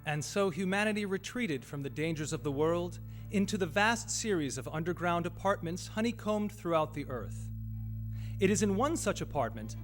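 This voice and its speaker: Dystopian radio drama storyteller actor: solemn scripted narration, higher male register, underscored by music. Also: lightly acted. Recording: guitar music behind, live studio stage.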